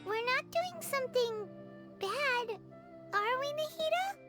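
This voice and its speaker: A woman voicing a small, excitable, childlike fairy companion in a video game, naive and curious, with a squeaky way of talking. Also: slow pace, music in the background, exaggerated voice. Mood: uneasy. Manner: squeaky, speaks of self in third person.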